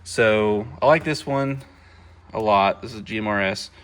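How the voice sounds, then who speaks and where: A man's voice: on the low side, unhurried, heavy background noise. A man casually showing his car setup in a vlog, talking loosely with many fillers and half-finished thoughts, recorded on a camera inside his car. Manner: rambling and loose, heavy on fillers and trailing thoughts. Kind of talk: casual show-and-tell vlog. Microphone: camera mounted in the car.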